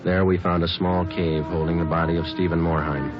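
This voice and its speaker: A man acting out a stern police detective in an old radio drama, very deep-voiced and clipped. He states facts flatly, without emotion. Music plays behind the dull, muffled broadcast sound. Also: brisk, close to an everyday voice. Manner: clipped, matter-of-fact, deadpan. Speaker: detective narrator. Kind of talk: 1940s radio drama narration.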